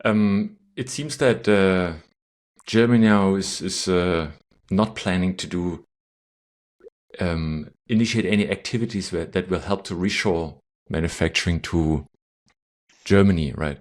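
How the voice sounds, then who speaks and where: Deep-voiced guy hosting a podcast, rambly questions, keeps saying right?. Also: unhurried. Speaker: podcast host. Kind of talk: interview questions.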